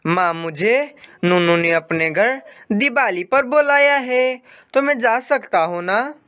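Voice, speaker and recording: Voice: medium pitch, lively intonation, slow, a bit bright, clear, resonant tone, pitched above the performer's own voice. Cartoon boy bird, voiced by the narrator: young, humble, wistful, clean tone. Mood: hopeful.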